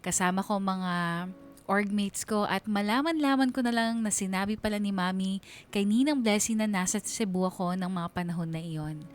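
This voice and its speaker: A woman's voice: medium pitch, normal speed, faint music behind, natural voice. A woman playing a young woman who recounts her past in a radio drama, reflective, wistful and confiding. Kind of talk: first-person radio drama narration.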